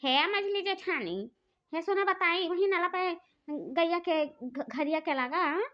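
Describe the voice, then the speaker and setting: Woman voicing a high-pitched scolding village sister-in-law. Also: expressive, measured pace. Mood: complaining. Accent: Awadhi. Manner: scolding, earthy, repetitive. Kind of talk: rustic cartoon comedy dialogue. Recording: dull lo-fi sound.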